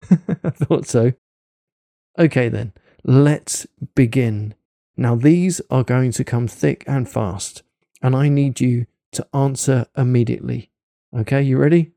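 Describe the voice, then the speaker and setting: A man guides a hypnosis session in a slow, coaxing, deliberate way. His voice is very dark and mellow with a gravelly edge. The recording is dry and close. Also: medium pitch, no echo. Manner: rapid-fire questions. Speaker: hypnosis narrator.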